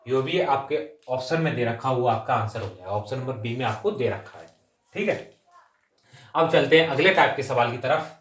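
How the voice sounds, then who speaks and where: A man's voice: mid-range voice, expressive, normal speed. A male maths teacher with a mid-range voice works through exam problems aloud, one step at a time. He drops English number words into his explanation and keeps checking in with short tags. The sound is echoey, picked up some way from the microphone. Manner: repeatedly checks in with short tag phrases; mixes English number words and terms into the talk. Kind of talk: exam-coaching lesson, working sums aloud step by step. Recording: roomy echo, distant microphone.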